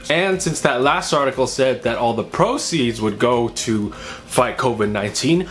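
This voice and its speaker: A man's voice: mid-range voice, a bit bright. A young American man hosting a weekly car news roundup in an upbeat, casual way with jokey asides. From United States. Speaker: host.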